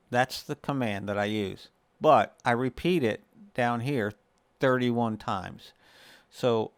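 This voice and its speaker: A man's voice: mid-range voice, clean tone, dry recording. A man hosting a casual gaming tutorial, explaining things step by step in a conversational way. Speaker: video creator and host.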